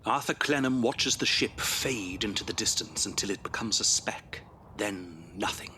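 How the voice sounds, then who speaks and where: A male actor as the storyteller of a Victorian audio drama, reading scripted narration in a measured, grave, literary way. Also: on the low side, pushed and bright, a little hiss or hum. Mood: solemn, wistful.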